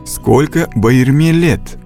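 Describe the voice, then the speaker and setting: A man reading scripted narration for a language course, with slow, deliberate instructional delivery and a close studio mic. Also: medium pitch, mellow, faint music behind.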